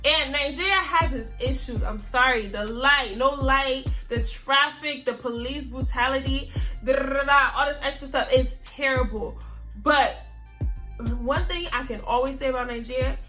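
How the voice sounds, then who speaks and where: A young American woman hosting a casual chat, animated and emphatic, often repeating her phrases. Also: high voice, normal speed, faint music behind. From United States. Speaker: host.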